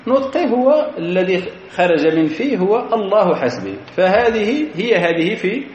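A man's voice: high-pitched, very animated, moderate pace, a little mellow. A man giving a grammar lesson in a methodical way, repeating key phrases and quizzing his students. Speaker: grammar teacher. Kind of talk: classroom grammar lecture. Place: lecture hall. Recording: echoey hall, dull lo-fi recording.

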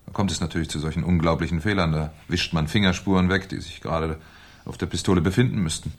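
An actor playing a shrewd, sardonic French police commissioner in a German radio crime drama, with a dry, probing manner. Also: male voice, low-pitched, steady tone, fast. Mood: dryly sardonic.

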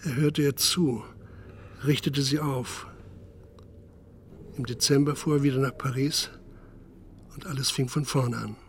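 Sober male narrator, medium pitch. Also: steady tone, a little slow, long pauses, slight background noise. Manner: sober, detached storytelling. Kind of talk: scripted literary narration in radio drama.

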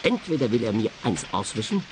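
Ernster Schrottplatzchef, leichte Hintergrundgeräusche. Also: male voice, fairly low pitch, big pitch swings, fairly quick, slight background noise, low-quality recording. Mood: aggrieved, firm. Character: earnest, matter-of-fact male scrapyard boss. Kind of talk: radio play dialogue.

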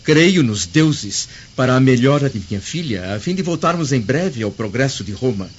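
A man acts a stern, proud Roman of high rank in a radio drama. He declaims haughtily with lively, sweeping pitch. The recording is dull, with faint ambient noise. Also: moderate pace, close to an everyday voice. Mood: proud, dismissive.

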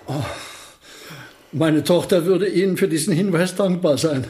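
Man playing courteous old professor, higher-pitched voice. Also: a little slow, a little mellow, a little hiss or hum, natural voice. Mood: wry. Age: older. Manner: polite, wry, musing. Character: courteous elderly physics professor, gently mischievous, absent-minded. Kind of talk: German radio play acting.

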